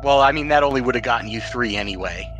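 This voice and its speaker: A man's voice: mid-range voice, quick pace, a bit bright, highs cut off, faint music behind. A man acting as game master, narrating a tabletop role-playing game in a conversational, explanatory way.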